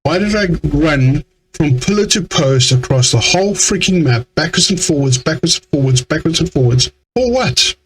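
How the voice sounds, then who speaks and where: A man's voice: on the high side, animated, normal speed, few pauses, slight background noise, poor audio. A man giving let's play commentary in an exasperated, grumbling way. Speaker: game streamer. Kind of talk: let's play commentary, reading game dialogue aloud.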